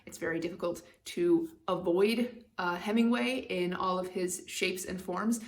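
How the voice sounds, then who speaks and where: Candid, opinionated young woman book vlogger. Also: mid-range voice. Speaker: BookTube channel host. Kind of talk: casual book tag vlog.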